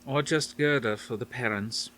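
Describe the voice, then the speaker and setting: A man's voice: medium pitch, moderate pace, sharp tone, a little hiss or hum, lightly acted, poor audio. A man reading an audiobook, voicing a stately grandmother near seventy with a faint Dutch accent who speaks firmly and formally. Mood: amused. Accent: faint Dutch, as put on. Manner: firm, soothing, formal phrasing.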